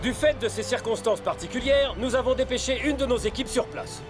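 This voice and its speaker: An actor playing an excitable male football commentator in a French cartoon dub, calling the game with drama. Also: very high-pitched, brisk, over music. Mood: eager, dramatic.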